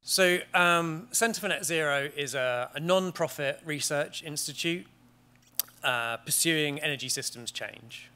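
A British man in his thirties giving a conference talk in a large wood-panelled auditorium, measured and steady, with frequent ums and restarts. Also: on the high side, smooth. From Great Britain. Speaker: conference speaker. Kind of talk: conference presentation.

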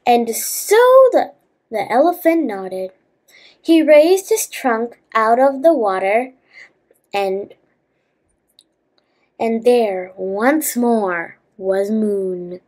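A woman reading a children's fable aloud as a storyteller, halting at times and adding asides to listeners. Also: fairly high pitch, a little mellow.

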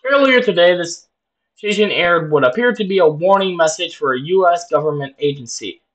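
Male reaction host, fairly high voice, very lively pitch, informal and joking, reads text aloud. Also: moderate pace, faint music behind, rough recording. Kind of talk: casual reaction commentary, reading on-screen text aloud.

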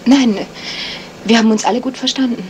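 A woman's voice: on the high side, brisk, darkish tone, natural voice. An actress playing a guarded, reserved woman witness in a 1970s German radio crime play, answering tersely. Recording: noisy vintage radio play recording.

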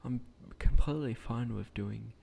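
A young man with a croaky voice, speaking quietly at night in a hesitant, rambling way with frequent restarts as he narrates a game build. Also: medium pitch, moderate pace, slight background noise. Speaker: gaming channel creator.

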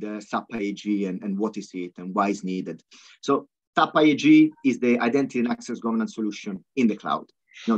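A man as guest expert presenting software on a webinar, fluent but peppered with ums and uhs. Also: mid-range voice. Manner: fluent but full of ums and uhs, non-native phrasing. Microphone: video call. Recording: slightly dull video call audio.

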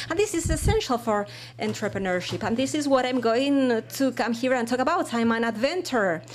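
A woman giving a live spoken interpretation of a keynote talk, halting and full of fillers. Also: fairly high pitch. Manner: halting, with fillers and frequent restarts. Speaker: simultaneous interpreter.

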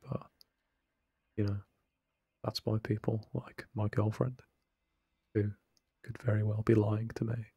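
Young man for a relaxed let's play, soft-spoken and self-deprecating, flat and mellow. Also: lowish voice, vocal fry, breathy edge, dry recording. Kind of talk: casual late-night gameplay commentary. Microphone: close desk microphone, no webcam.

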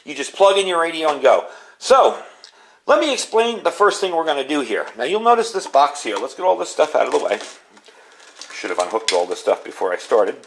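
A man's voice: on the high side, sing-song, fairly quick, fairly bright tone, a little hiss or hum. A middle-aged man giving a casual, informal hands-on walkthrough, talking as a video host at an indoor workbench. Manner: chatty, informal, explanatory. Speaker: video host demonstrating gear.

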